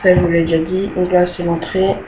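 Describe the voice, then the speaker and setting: A woman's voice: on the low side, level tone, fairly quick. A young woman casually showing her rooms in an online game, with many hesitations and easily annoyed by glitches. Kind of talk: casual screen-recorded game tour. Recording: dull lo-fi home mic with faint hiss.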